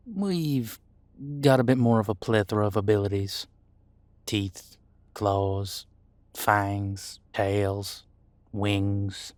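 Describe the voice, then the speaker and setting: Guy playing a chill demon homicide cop, lowish voice, folksy n reassuring, pauses a bit, really dry close recording. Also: darkish tone, no room sound. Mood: explaining, matter-of-fact. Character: easygoing male demon homicide detective mentoring new partner. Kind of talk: audio roleplay drama, conversational monologue.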